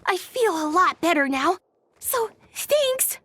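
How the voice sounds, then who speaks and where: A woman voicing an earnest, gentle child in a game, sincere and a little hesitant. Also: extremely high pitch, big pitch swings, slow, noisy background, exaggerated voice. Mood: nervous, excited. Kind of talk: scripted English game voice acting. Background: soft background music.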